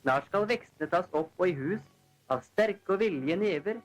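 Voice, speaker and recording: A man's voice: sits high, lively intonation, highs cut off. A man narrates a 1940s newsreel. His delivery is formal and declamatory, read from a script. It sounds like a vintage film soundtrack with music faintly behind him. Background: newsreel background music.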